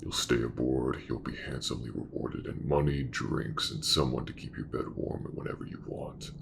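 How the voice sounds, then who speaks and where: A man playing a calm, shrewd, commanding ship captain in a sci-fi audio roleplay, with a very gravelly voice and measured, businesslike delivery. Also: extremely low pitch, noisy background, heavily acted.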